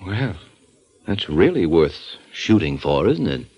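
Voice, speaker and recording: An actor playing a suave private detective in 1940s radio style, trading dry, flirtatious wisecracks. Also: male voice, low voice, very animated, slow pace, a little mellow, breathy edge, exaggerated voice. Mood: wry. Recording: dull old radio recording.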